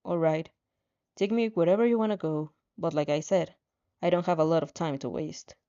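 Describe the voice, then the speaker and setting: Aloof teen boy, voiced by a woman: curt, weary, flat and unfriendly, soft dark tone. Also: sits low, normal speed, smooth, lo-fi sound, voice pushed down.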